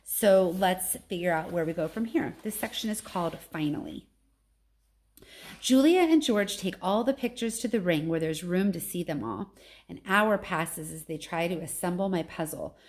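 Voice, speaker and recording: A woman teacher reading a children's novel aloud in an expressive storytelling style. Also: fairly low pitch, normal speed.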